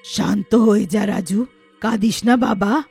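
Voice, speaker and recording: A woman's voice: medium pitch, a little slow, vocal fry, darkish tone, husky, faint music behind, full character voice. A woman voicing a wronged elderly mother turned witch ghost in a story, emphatic, reproachful and pleading. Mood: soothing, motherly.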